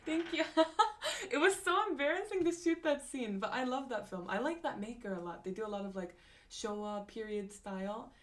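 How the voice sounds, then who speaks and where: A young woman in casual conversation, talking at her desk mic while streaming from home. Her voice sits a little high, and she runs on with fillers, restarts and quick laughs as she answers viewers' comments. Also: animated. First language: not Japanese. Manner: chatty, many fillers and restarts, frequent laughs. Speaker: live-stream host. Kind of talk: casual live-stream chat reading comments.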